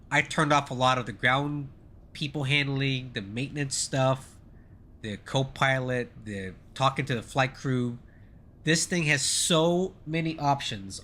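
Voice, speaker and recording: A man's voice: medium pitch, sharp tone, a little hiss or hum. Middle-aged man with a stuffy, nasal voice. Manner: chatty, rambling, thanks viewers. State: nasal and congested, getting over flu. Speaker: flight sim streamer. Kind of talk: casual live-stream commentary.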